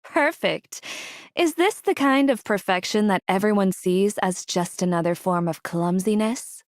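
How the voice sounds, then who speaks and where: A cold, calculating woman of an anime game, played by an actress. Her voice sits at a medium pitch, close and dry. She speaks with controlled condescension and a cutting edge. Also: a little slow, no echo, natural voice. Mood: mocking. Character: cold, scornful, scheming female mastermind. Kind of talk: scripted anime game dialogue.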